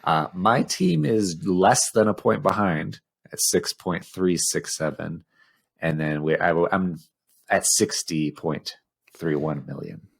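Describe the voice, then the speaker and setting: Low-pitched man, casual podcast co-host. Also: slow pace, vocal fry, slightly rough. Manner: informal, profane, self-mocking. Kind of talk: casual chat.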